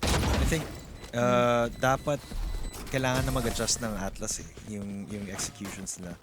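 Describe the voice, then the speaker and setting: A man's voice: medium pitch, moderate pace. A man with a Filipino accent giving live analysis as an esports caster, switching between two languages. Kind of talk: live game analysis commentary. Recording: noisy audio with clicks.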